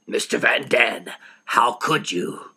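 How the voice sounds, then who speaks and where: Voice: mid-range voice, animated, takes it slowly, creaky, bright, forceful tone, husky, full character voice. A reader voicing a father who pleads urgently for a fight to stop.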